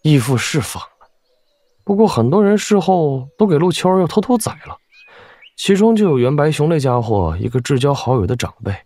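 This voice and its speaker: An actor playing an obese, fawning general with a folksy, self-deprecating manner and a husky voice in a Chinese wuxia audio drama. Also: male voice, fairly high pitch, sing-song, a little fast, warm tone, no echo. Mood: rueful. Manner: obsequious, chuckling, sighing, folksy.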